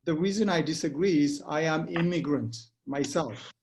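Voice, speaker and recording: A man's voice: on the high side, background noise. A middle-aged man from Ethiopia, with an Ethiopian accent and English as a second language, giving an earnest motivational talk that halts and restarts often. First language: not English. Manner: earnest, halting with frequent restarts. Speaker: guest speaker. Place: Pretoria home.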